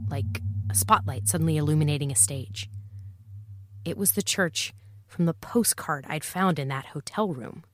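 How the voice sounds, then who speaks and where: A woman's voice: low-pitched, dry recording. A woman playing a wry, impulsive and jaded amateur private eye in a noir audio drama, with a sardonic, confiding and self-deprecating manner. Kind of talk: noir first-person audio drama narration and dialogue.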